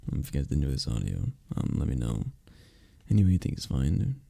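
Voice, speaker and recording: Deep, gravelly man rambling casually. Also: big pitch swings, a little fast, dark tone, no echo, studio quality. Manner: apologetic, encouraging. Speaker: audio roleplay creator. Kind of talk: casual rambling sign-off to listeners.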